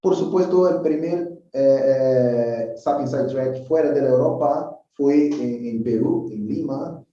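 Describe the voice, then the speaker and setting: Man with a Brazilian Portuguese accent, halting and echoey. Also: medium pitch, mellow. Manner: halting, repeats words, frequent "entonces". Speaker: guest. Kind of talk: casual interview chat. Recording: remote call, distant muffled mic, very echoey room.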